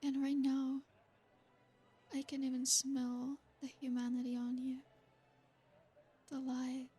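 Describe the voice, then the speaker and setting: A woman voicing an ancient, aristocratic female vampire in an ASMR roleplay. She speaks in a soft, formal, flattering way. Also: high-pitched, slow, husky, heavily acted. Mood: predatory.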